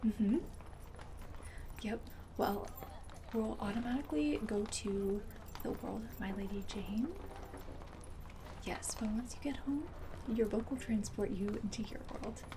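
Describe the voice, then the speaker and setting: A woman doing a soft-spoken ASMR roleplay as a host, breathy and partly whispered, with a gentle, friendly manner. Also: mid-range voice, a little slow, pauses often, noisy background.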